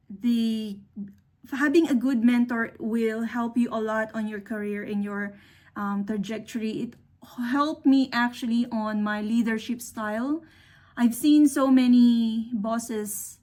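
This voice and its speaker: Middle-aged woman from the Philippines, English not her first language, slightly high, unhurried delivery, earnest mentor giving advice. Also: darkish tone. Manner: earnest, unhurried, with fillers and restarts. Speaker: speaker. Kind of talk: motivational talk to young engineers.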